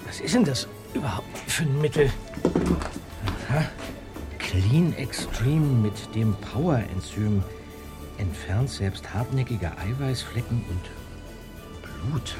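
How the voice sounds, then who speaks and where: Curious teenage detective, level-headed, audio drama, mid-range voice. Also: male voice, mid-range voice, lively intonation, slow, pauses often, over loud music. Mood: curious, puzzled. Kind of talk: German audio drama acting.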